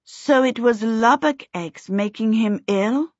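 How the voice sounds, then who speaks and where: A woman's voice: on the high side, takes it slowly, dry recording, voice pushed up. A woman voicing a proud, prim teenage girl with a haughty, clipped way of speaking. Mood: earnest. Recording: dull, dry sound.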